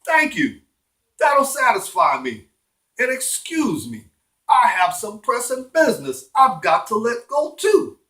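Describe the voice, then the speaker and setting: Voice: mid-range voice, very animated, slow, fairly bright tone, voice pushed up. A storyteller playing an irritable homeowner, a man who talks in a blunt, emphatic, indignant way. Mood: curtly satisfied. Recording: roomy, echoing room sound.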